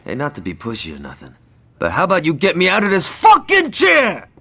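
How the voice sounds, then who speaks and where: A man's voice: fairly high pitch, huge pitch swings, brisk, vocal fry, a bit bright, breathy edge, exaggerated voice. A man playing a cocky, foul-mouthed gangster in a video game cutscene, brash and wisecracking. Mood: impatient.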